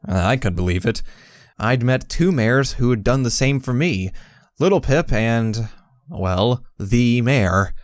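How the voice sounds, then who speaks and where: A man voicing a timid, soft-hearted young pony who narrates an audio story in the first person, earnest and hesitant. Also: mid-range voice, expressive, slow, no echo. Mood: wistful.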